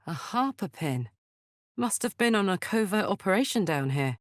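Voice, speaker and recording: A woman's voice: medium pitch, expressive, normal speed, dry recording, studio quality, lightly acted. An actress with a British accent playing a brash, big-hearted, battle-hardened warrior woman in a fantasy video game, blunt and earthy in manner. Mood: alert, matter-of-fact.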